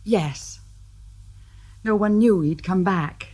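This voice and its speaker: An anxious young woman in a radio play, speaking in a subdued, tense, slightly husky voice at a middle pitch. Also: slow, a little mellow.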